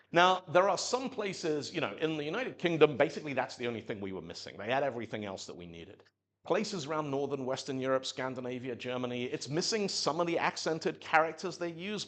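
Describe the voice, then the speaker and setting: A man from Zimbabwe giving a live technical talk at a meetup, telling stories and acting out quotes in little voices. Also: mid-range voice. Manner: animated storytelling, acts out quotes in voices. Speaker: conference speaker.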